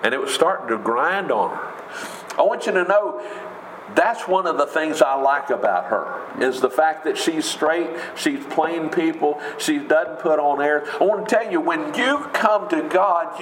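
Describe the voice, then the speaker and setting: A man with a big booming voice preaching a sermon in an emphatic, conversational way, with rhetorical questions. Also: a bit bright, slight background noise. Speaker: pastor. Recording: echoey room with faint ambience.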